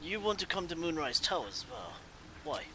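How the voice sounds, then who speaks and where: A man role-plays a game hero's lines in a bright, somewhat high voice. His pitch moves around a lot, and his acted delivery comes with pauses. Game music plays behind him. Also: big pitch swings, slight background noise. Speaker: gameplay video creator role-playing the player character. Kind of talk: light role-play, reading game dialogue aloud in character.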